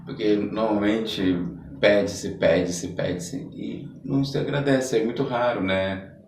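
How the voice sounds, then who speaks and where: A man's voice: lowish voice, unhurried, vocal fry, a little mellow. A Brazilian man interviewing casually on a podcast, thinking aloud as he searches for words. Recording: echoey room, distant mic.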